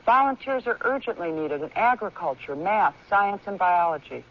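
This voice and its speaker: A woman's voice: mid-range voice, animated, moderate pace. Woman reading a muffled radio appeal. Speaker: organization director, public service appeal. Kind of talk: scripted public service announcement. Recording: muffled old radio broadcast.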